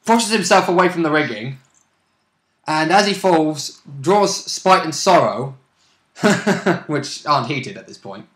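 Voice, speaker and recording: Man, bright forceful tone, higher pitch, casual gamer chat, chiming in. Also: expressive, slow. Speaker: player recapping a role-play session. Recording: roomy, echoing home recording.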